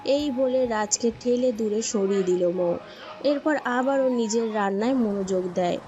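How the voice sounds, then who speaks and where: A woman's voice: on the high side, normal speed, warm tone, slight background noise. A woman reading scripted narration for a romantic story as its storyteller. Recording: dull lo-fi sound, music bed.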